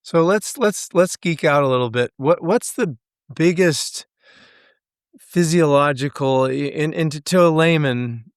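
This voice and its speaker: Man, interview host, smooth clear voice, halting and repetitive, dry sound. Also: a little high, no room sound. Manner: halting, repeats words, enthusiastic interjections. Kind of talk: interview questions.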